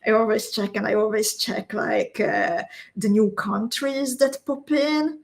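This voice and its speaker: Casting note: woman, unhurried craft teacher, friendly live-stream chatter with viewers, steady mid-range voice. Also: medium pitch, creaky. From Slovakia. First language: Hungarian. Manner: slow, step-by-step instructions, chatting with viewers. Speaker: beading instructor.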